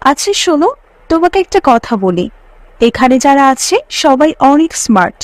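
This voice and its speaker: A breezy, modern college girl from a moral-tale cartoon, acted by a woman. Her high voice bounces with lively intonation in a very dry sound, casual and persuasive. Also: normal speed, no echo. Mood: coaxing. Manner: coaxing, casual.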